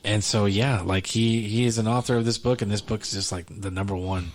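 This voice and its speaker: A man co-hosting a podcast in relaxed chat, rambling with frequent restarts and fillers. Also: on the low side, a little fast, a little hiss or hum. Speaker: podcast co-host. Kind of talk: casual chat.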